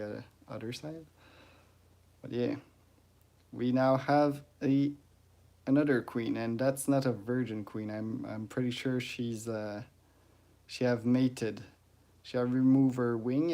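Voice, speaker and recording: A man with a French accent, a native French speaker, talking casually on a livestream about his ant-keeping hobby, pausing often with "uh" and stopping to greet viewers in the chat. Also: medium pitch, warm tone. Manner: halting, many "uh"s and restarts, greets chat viewers.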